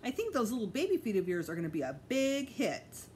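Storybook painter, male, played by a woman, besotted and cooing, stretched-out baby talk, animated pitch, slight noise. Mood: delighted. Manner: drawn-out, cooing.

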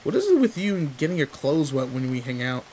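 A man's voice: a little high, brisk, slight background noise. A man playing a tall, protective, secretly sweet teenage delinquent in an intimate roleplay audio drama, talking in casual, teasing slang. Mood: apologetic, teasing. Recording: steady rain ambience behind voice. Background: rain in a forest.

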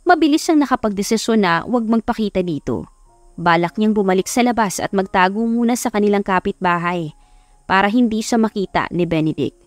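A woman's voice: mid-range voice, dry recording. A woman with a Filipino accent narrating a scripted romance story as an audiobook. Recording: music behind the voice. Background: background music.

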